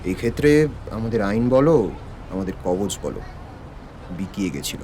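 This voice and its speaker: An actor playing a calm, authoritative police detective with a cool, measured, cryptic manner in an audio thriller. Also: male voice, fairly low pitch, slight background noise. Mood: grave, resolute. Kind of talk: Bengali audio detective drama acting.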